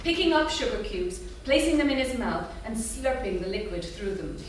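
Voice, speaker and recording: A woman's voice: a little high, big pitch swings, moderate pace. A woman reading out stage directions as scripted narration at a staged reading. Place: theatre. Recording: very echoey, noisy hall from far off.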